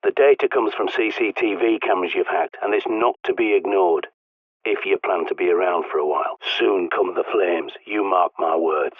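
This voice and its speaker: An actor with a British accent playing a gruff ex-soldier survivalist who mentors the player over the radio, blunt and fatherly. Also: low voice, normal speed, dry recording. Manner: blunt, dry, fatherly, barks orders when urgent. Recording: tinny radio-filtered line, dry.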